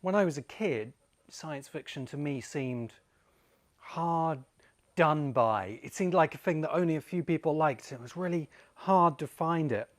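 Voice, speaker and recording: A British man in his forties presenting an informal explanatory talk as host, speaking emphatically and deliberately with pauses for effect. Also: fairly high pitch. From Great Britain. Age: 40s. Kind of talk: informal explanatory video essay.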